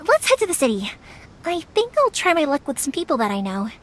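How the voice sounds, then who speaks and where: A woman's voice: sits high, huge pitch swings, brisk, a bit bright, slight background noise, full character voice. A woman playing a cheerful, talkative young fireworks maker in an anime-style video game, rambling along enthusiastically. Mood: bubbly, enthusiastic. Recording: music under the dialogue. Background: music.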